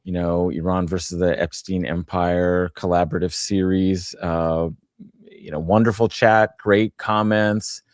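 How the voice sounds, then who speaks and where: Low-voiced guy hosting a stream, chatty and upbeat, dry close sound. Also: flat delivery, measured pace, no room sound. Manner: enthusiastic, chatty, many fillers. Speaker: host. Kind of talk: casual livestream chat.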